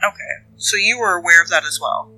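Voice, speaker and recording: A woman's voice: fairly low pitch, a little fast, sharp tone, poor audio. A woman playing an earnest police detective in a role-play drama, asking probing questions politely and rephrasing them tentatively as she goes. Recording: music under the voice.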